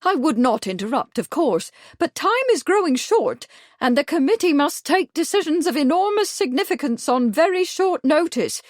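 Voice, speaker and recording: A woman voicing a loud, pompous middle-aged village busybody who speaks shrilly and officiously. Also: high-pitched, very animated, normal speed, no room sound, clean studio sound, voice pushed up. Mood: insistent.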